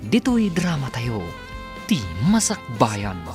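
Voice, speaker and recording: Animated Ilocano male radio announcer over music. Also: medium pitch, slow pace. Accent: Filipino, Ilocano. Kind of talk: dramatic scripted radio narration.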